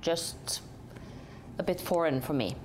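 A woman's voice: deep voice, slow pace, a little hiss or hum. A woman from Norway, a company chief executive, answering interview questions thoughtfully with many hesitations and restarts.

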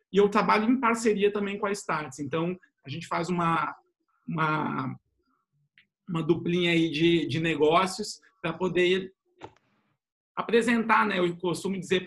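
A man's voice: sits high, fairly bright tone. A middle-aged Brazilian man introducing himself conversationally as a panel guest, in an informal manner with frequent tag questions. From São Paulo state, Brazil. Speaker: panel guest, business innovation professional.

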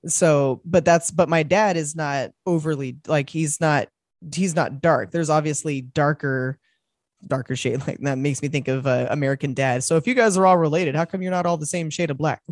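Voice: mid-range voice, moderate pace, no echo, studio quality. A podcast co-host in casual film chat, rambling and laughing often. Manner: rambling, laughs often, acts out movie quotes.

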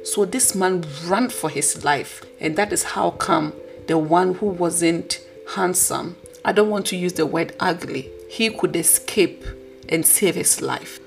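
A woman with a husky voice hosting a podcast, delivering an earnest, preachy motivational talk. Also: fairly low pitch. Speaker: podcast host.

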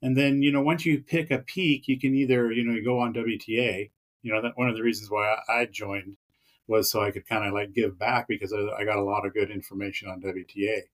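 A man's voice: fairly low pitch, fairly even intonation, fairly quick, low-quality recording. A man chatting as a podcast guest, rambling with many fillers and restarts. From Pacific Northwest of the US. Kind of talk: casual interview chat.

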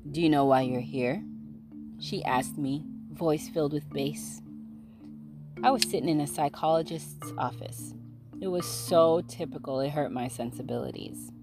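Low-voiced woman reading her story. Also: measured pace, music in the background. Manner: casual, relaxed intro, then steady storytelling. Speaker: podcast host and author. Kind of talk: reading her own short story aloud.

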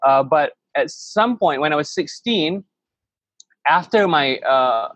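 A man's voice: on the high side, animated, slow pace, a bit bright, no room sound. A man in his thirties who grew up in Malaysia, a podcast guest telling his story in a rambling, enthusiastic way with many restarts. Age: 30s. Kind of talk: casual interview chat.

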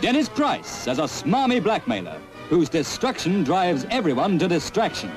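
A man announcing an old film trailer in a booming showman style, heard from an old film recording with music behind. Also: big pitch swings, normal speed. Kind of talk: 1950s film trailer narration.

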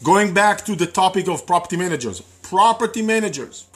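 A man with a fairly high voice, a native Hebrew speaker, talking casually to an online audience about investing. He circles back on his words and fills pauses with ums and uhs as he teaches. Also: lively intonation. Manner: conversational, repeats words, many fillers. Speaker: real estate investing educator. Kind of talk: live-streamed teaching talk.

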